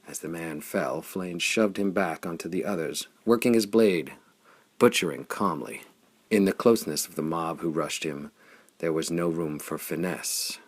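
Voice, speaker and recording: A man with a gravelly, husky voice narrating pulp adventure fiction in a dramatic, intense storytelling manner. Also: sits low, moderate pace. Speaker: audiobook narrator.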